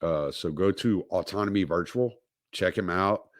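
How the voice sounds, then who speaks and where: Man, livestream host, deep low voice, dry close sound, halting rambling delivery. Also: no room sound. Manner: rambling, halting, many fillers and restarts. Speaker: podcast host. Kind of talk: casual livestream monologue.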